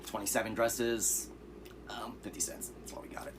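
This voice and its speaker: A man with a very low, hoarse voice runs through a pile of cheap movie finds, easygoing and rambling, with fillers along the way. There is clear steady noise behind him. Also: moderate pace. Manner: relaxed, rambling, with fillers and asides. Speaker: vlogger showing thrift finds. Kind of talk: casual vlog.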